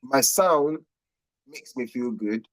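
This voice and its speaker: A young man from Nigeria talks in an easygoing, slangy way, often breaking into laughter. His voice sits at a middle pitch. The sound is dry and close. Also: lively intonation, slow, no room sound. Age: young adult. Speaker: guest musician. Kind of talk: casual interview chat.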